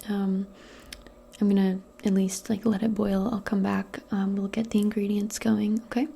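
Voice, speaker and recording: A woman speaking softly and breathily, often in a whisper, in a gentle, hesitant ASMR roleplay as a modern witch. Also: mid-range voice, little pitch movement, vocal fry, slight background noise. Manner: gentle, unhurried, hesitant and improvised. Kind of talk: soft-spoken, whispered ASMR personal attention roleplay.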